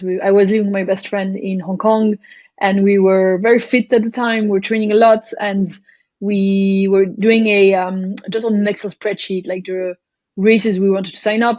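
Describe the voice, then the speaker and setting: A woman's voice: mid-range voice, darkish tone, dry recording, lo-fi sound. A woman from Belgium, a startup founder, talking as a podcast guest, thoughtful and hesitant, with many fillers. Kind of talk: casual interview chat.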